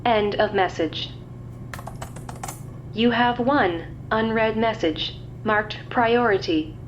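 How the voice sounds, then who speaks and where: A woman voicing a female computer archive that flatly announces messages. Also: on the high side, slow, muffled recording, a little hiss or hum.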